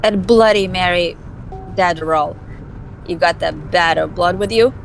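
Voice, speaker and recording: A woman's voice: on the low side, unhurried, gravelly, soft background music, low-quality recording. A woman voicing a flippant, scheming young vampire socialite in an amateur visual novel dub, with a snarky, theatrical delivery. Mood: brisk.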